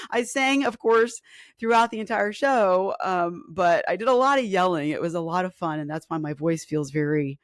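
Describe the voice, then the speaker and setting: A woman in her forties, a fitness coach, talking casually on a live stream with a hoarse, scratchy voice and lots of ums. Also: fairly low pitch, animated, moderate pace, dry recording, clean studio sound. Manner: chatty and earnest, with filler ums and restarts. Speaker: fitness coach and podcast host.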